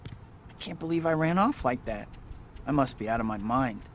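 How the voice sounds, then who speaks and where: A man's voice: mid-range voice, lively intonation, moderate pace, slight background noise, lightly acted. A young American man voicing a glib, awkward young superhero in a video game, with music behind him. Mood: awkward, apologetic. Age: young adult. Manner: glib, quipping. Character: wisecracking, awkward young male superhero and photographer.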